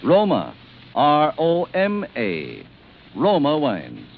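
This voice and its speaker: A man's voice: fairly high pitch, huge pitch swings, slow, creaky. A man delivering a 1940s radio advertisement as the programme's announcer. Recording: vintage 1940s radio, phone-like, noisy.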